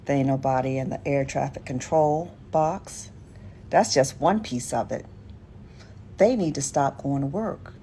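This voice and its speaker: A woman's voice: extremely low pitch, unhurried, warm tone. An American woman on a casual political rant, blunt, sardonic and profane, in a noisy clip recording.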